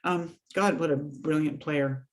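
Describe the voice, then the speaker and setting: A woman's voice: low-pitched, measured pace. A woman vocalist with a light voice, chatting enthusiastically as a guest over a video call. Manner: animated, enthusiastic, laughs often. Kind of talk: casual interview chat.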